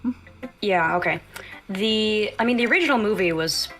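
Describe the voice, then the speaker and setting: A woman's voice: medium pitch, animated, moderate pace, music in the background. A woman from the United States chatting casually as a livestream guest, effusive and rambling. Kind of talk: casual chat.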